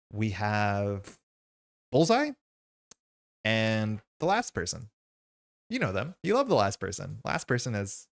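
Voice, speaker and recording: A man with a gravelly, fairly low voice hosts a relaxed card-game session, whose first language is Slovenian. He chats in a loose, rambling way, full of asides and fillers. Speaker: host. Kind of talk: casual chat while playing a card game.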